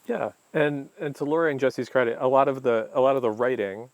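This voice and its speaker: A man who produces documentaries, speaking in an interview in a measured way and pausing to find his words. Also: mid-range voice. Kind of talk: interview answers.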